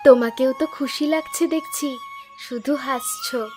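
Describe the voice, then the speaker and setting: A friendly neighbour wife from a village cartoon, acted by a woman. Her voice is high and her talk neighbourly. Faint music plays under it. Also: measured pace. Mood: friendly, teasing. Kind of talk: animated folk-tale dialogue.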